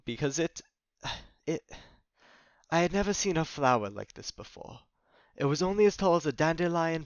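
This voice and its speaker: A man playing a flustered, confused, nature-loving man who speaks haltingly in formal words in an audio drama. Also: medium pitch, no echo, muffled recording. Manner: halting, stammering, formal wording.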